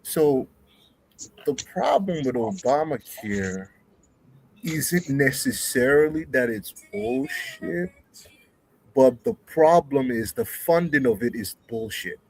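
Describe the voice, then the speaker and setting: Man from New York, husky and argumentative, lively up-and-down delivery. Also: medium pitch, takes it slowly, no room sound, rough recording. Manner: rambling, self-correcting, argumentative. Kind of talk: casual group talk.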